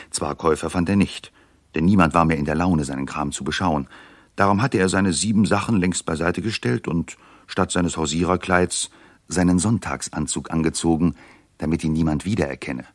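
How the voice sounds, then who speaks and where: A man acting as the wry, knowing narrator of a 1960s German radio play, in a measured storytelling style. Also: low voice, dry recording.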